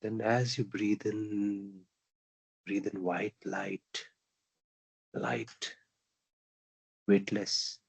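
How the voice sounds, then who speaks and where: A man with an Indian accent leading a guided meditation in gentle, simple repeated phrases with long pauses. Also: on the low side, flat delivery, gravelly, husky, no room sound. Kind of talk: guided meditation, slow calming instruction.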